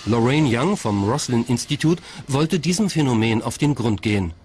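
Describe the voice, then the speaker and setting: A man's voice: mid-range voice, animated, moderate pace, darkish tone, slight background noise. A man reading scripted documentary narration in the role of narrator.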